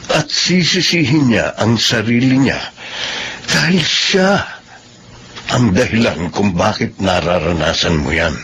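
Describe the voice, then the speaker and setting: A man's voice: on the low side, fairly bright tone, slight background noise, full character voice. An actor playing an earnest, fatherly male boss with a Filipino accent in a radio drama, speaking in a solemn, emphatic, counseling way. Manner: solemn, emphatic, counseling delivery with English phrases mixed in. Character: earnest, fatherly male company boss comforting a widowed employee.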